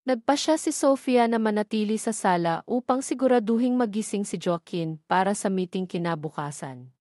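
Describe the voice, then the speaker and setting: A woman with a Filipino accent narrates a scripted romance audio drama. Her voice sits at a medium pitch. The recording is close and dry, with little room sound. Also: darkish tone, dry recording. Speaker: story narrator.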